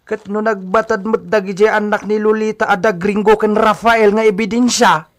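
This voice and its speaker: An actor with an Ilocano accent playing a loyal, cautious hacienda servant in a radio drama, gently chiding. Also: male voice, high-pitched, fairly even intonation, normal speed, natural voice. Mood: cautioning.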